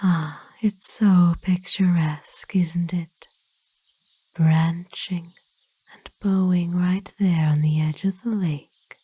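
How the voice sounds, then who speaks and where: Woman voicing a slow, whispering, gentle vampire lady. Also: fairly low pitch, fairly even intonation. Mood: soft, admiring. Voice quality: soft, breathy whisper. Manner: slow, formal, gently soothing phrasing. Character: kind, ancient aristocratic lady vampire owner, gentle and wistful. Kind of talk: whispered ASMR roleplay.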